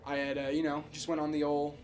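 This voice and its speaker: A man's voice: a little high, monotone, fast, darkish tone. A man co-hosting in casual chat, slangy and joking, with some swearing. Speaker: podcast co-host. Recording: clearly audible background noise.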